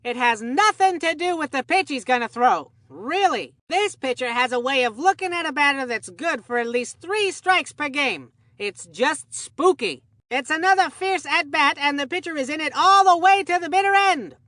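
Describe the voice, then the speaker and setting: A woman voicing an excitable, folksy young baseball commentator in a cartoon video game, gushing in old-time slang. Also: sits high, moderate pace, hardly any pauses, no room sound, studio quality. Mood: folksy, advising. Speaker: sports commentator. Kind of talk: scripted game sports commentary.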